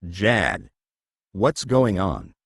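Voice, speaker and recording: A nervous, excitable young storybook reporter, read by a man in a low voice. He is earnest and exclaims readily, with a very lively pitch range. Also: drawn-out words, gravelly, breathy edge, no room sound, clean studio sound, exaggerated voice. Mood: puzzled. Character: nervous, excitable boy reporter on his first trip.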